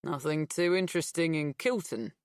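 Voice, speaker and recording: A woman voicing a polite, guarded young male police officer in an audiobook, in a measured, official way. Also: deep voice, darkish tone, clean tone, no room sound, clean studio sound, close to an everyday voice. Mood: casual.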